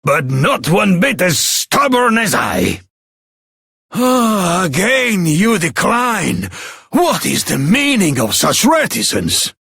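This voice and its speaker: An actor playing a pompous, stubborn old king with a raspy, creaky voice and flowery, theatrical speech, in a fantasy video game. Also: high voice, sing-song, slow pace, pushed and bright, no echo, studio quality, exaggerated voice. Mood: insistent, wheedling. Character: weary, wheedling, stubborn old king eager to abdicate.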